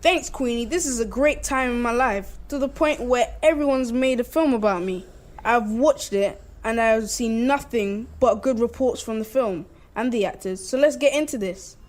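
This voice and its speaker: A man's voice: very high voice, normal speed. A young male performer playing an upbeat, unlucky man in an amateur radio play. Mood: pleased. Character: Bob, upbeat unlucky man turned comedian.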